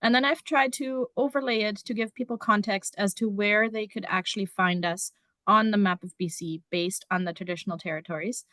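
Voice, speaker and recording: A woman from Germany works as a district numeracy teacher and walks a conference audience through her material. She speaks casually and fills her pauses with ums. Her voice sits in a middle pitch and sounds dry and close. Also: steady tone, a bit bright, dry recording. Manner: casual and explanatory, with frequent ums. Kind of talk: conference presentation.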